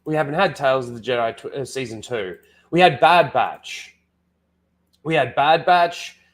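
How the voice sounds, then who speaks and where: A man's voice: medium pitch, expressive, normal speed. A man with a head cold and blocked nose, rambling casually to his chat as a livestream host, full of "like" and restarts.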